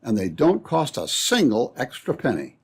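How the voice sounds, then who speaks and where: A man's voice: medium pitch, huge pitch swings, moderate pace, breathy edge. A man announcing in scripted old-time radio style, with a peppy salesman's delivery. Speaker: announcer and narrator.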